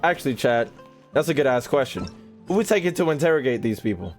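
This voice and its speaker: A man's voice: medium pitch, lively intonation, quick pace, faint music behind. A young American man giving casual, joking, slangy gameplay commentary as a streamer talking to his chat. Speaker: gaming streamer.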